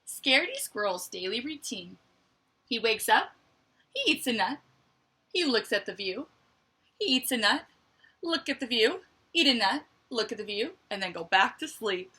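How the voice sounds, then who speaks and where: A woman reading a picture book aloud to children like a teacher, with expressive emphasis and chatty asides. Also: fairly high pitch, moderate pace, long pauses, husky. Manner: expressive, dramatic emphasis, conversational asides to young listeners.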